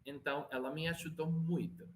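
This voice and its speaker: A man's voice: a little high, steady tone, fairly quick, slight background noise. Venezuelan man, careful hesitant Portuguese. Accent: Venezuelan Spanish. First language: Spanish. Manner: hesitant, searching for words, frequent restarts. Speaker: interview guest, language learner. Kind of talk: casual interview chat.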